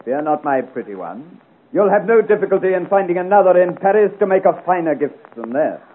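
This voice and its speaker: Swaggering high-pitched highwayman captain, tinny old recording. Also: sing-song, fairly quick. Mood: smug, mock-gallant. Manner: florid, sneering, theatrical speech with mock gallantry. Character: swaggering, mocking villain, captain of highway cutthroats. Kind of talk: 1950 radio serial acting. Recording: tinny, hissy old 1950 radio recording.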